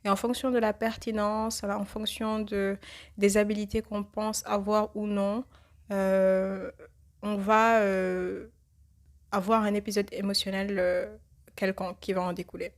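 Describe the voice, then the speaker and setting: A woman with a cold hosting a reflective religious podcast, speaking thoughtfully and pausing often with fillers. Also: mid-range voice, level tone. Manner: hesitant, many filler pauses. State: has a cold. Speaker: podcast host.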